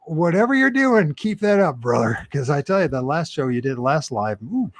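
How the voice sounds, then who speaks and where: A man's voice: on the high side, normal speed, no echo. A man co-hosting a casual live stream, joking around and laughing often. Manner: jokey, laughs often, animated. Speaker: podcast co-host.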